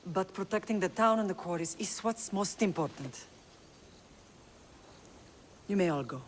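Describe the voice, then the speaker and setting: A woman's voice: mid-range voice, animated, unhurried, a little hiss or hum, lightly acted. Stern, authoritative woman ruler played by an actress. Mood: stern, resolute. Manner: authoritative, decisive. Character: stern, resolute female ruler of an island town. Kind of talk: dramatic video game acting.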